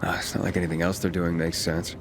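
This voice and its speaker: An actor playing a guarded, inquisitive wanderer, the hero of a video game, speaking in brief probing lines. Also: male voice, low-pitched, level tone, quick pace, gravelly. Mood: intrigued. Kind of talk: video game cutscene acting.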